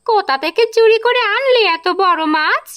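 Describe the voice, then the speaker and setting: A woman's voice: extremely high pitch, animated, moderate pace, dull audio. A woman voicing a scolding, complaining wife who refuses to help, in a cartoon. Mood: irritated. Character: nagging, unwilling wife of a thief. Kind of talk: cartoon voice acting.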